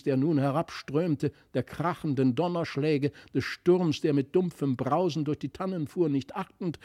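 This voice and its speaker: A man narrating a literary fairy tale in a dramatic, expressive manner. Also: fairly high pitch. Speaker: storyteller.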